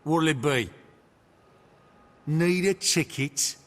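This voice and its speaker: An actor playing a pushy, streetwise ticket seller in a video game, husky and wheedling. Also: male voice, a little high, slow, a bit bright, in a large hall. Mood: casual, wheedling.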